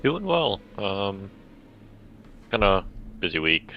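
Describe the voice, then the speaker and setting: A man's voice: low-pitched, very animated, takes it slowly. A man chatting casually as a player on a gaming podcast. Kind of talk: casual chat. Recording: dull, noisy home recording.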